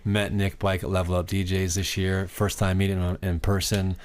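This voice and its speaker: Low, monotone man talking shop. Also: moderate pace, slight background noise. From Canada. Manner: rambling, with many fillers and restarts. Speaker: DJ guest. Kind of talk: casual interview chat.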